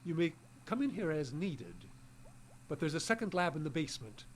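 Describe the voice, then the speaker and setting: An actor playing a secretive, eccentric old scientist in an audio drama, giving clipped, imperious orders and muttering to himself. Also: male voice, fairly high pitch, sing-song, moderate pace, a little hiss or hum. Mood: stern, secretive. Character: eccentric old male scientist, secretive, stern, meat-loving. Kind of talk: live audio drama acting.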